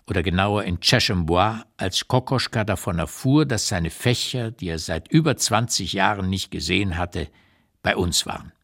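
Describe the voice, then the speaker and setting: An actor playing an elderly émigré writer who looks back candidly, reading his memoir in a deliberate, emphatic literary radio play narration. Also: fairly low pitch.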